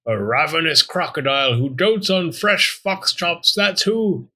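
A man's voice: a little high, expressive, a little slow, bright, forceful tone, voice pushed up, heavily acted. A man voicing a small, feisty talking bone in a storybook, loyal and given to theatrical, florid ranting. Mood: outraged, defiant.

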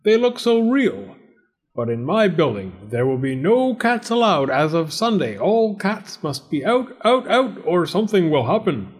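A man's voice: sits high, very animated, measured pace, husky, voice pushed up, full character voice. A man voicing a blustery, suspicious and bumbling male landlord in a children's story, exclaiming and drawing out his key words. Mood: stern, suspicious.

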